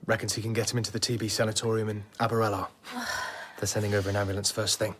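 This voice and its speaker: An actor playing a dedicated doctor in a radio drama, wry and blunt but kind to patients. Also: male voice, on the low side, little pitch movement, quick pace, background noise, lightly acted. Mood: grave, practical.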